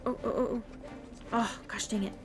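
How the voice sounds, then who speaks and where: A woman giving casual, excitable gameplay commentary as she plays. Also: fairly high pitch, normal speed, music in the background. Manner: excitable, gushing. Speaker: gamer, channel host. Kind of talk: casual gameplay commentary, reading quest dialogue aloud.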